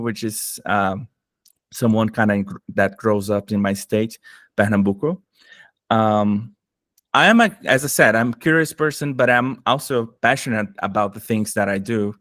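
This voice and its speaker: A middle-aged man from Brazil with a Brazilian Portuguese accent, talking eagerly as a podcast guest and often pausing to search for words. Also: medium pitch, fairly bright tone, dry recording, clean studio sound. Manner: enthusiastic, searching for words, frequent fillers. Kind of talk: casual interview conversation.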